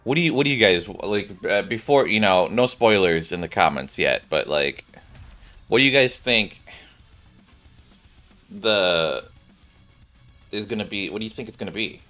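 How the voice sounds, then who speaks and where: A man's voice: lowish voice, expressive, moderate pace, long pauses, pushed and bright, faint music behind. A man streaming a video game, giving casual, rambling commentary as he reacts aloud.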